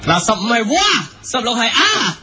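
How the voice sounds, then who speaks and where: An actor playing an upbeat, mischievous quiz show host in a radio comedy, with a Hong Kong Cantonese accent and a theatrical announcer's delivery. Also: male voice, very high voice, big pitch swings, normal speed, over music. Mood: teasing, explaining.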